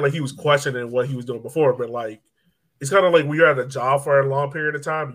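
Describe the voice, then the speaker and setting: A man hosts a casual sports podcast at an ordinary pitch. He talks conversationally, like he is chatting with friends, and peppers his sentences with "like" and "you know". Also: fairly bright tone. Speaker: soccer podcast host.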